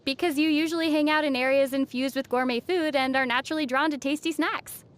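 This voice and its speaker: A woman voicing a young female traveler in a video game, composed and playfully teasing, with quick wit. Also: high voice, fairly even intonation, close to an everyday voice. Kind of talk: video game character dialogue.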